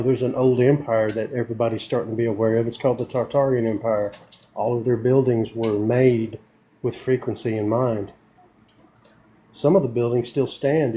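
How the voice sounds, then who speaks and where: A man's voice: medium pitch, dark tone, a little hiss or hum. Earnest country-accented Tennessee man. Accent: East Tennessee country. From Northeast Tennessee. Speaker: community project speaker. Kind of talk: casual group call. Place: at home in South Houston.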